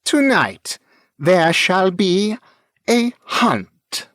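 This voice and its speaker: Guy doing a snooty impatient lady mage, pitched up, bossy and dramatic, very animated, fantasy audiobook. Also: very slow, no room sound, clean studio sound, heavily acted. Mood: proclaiming, grand. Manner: imperious, curt, declamatory. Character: haughty, impatient female mage with a tail. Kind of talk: fantasy audiobook character acting.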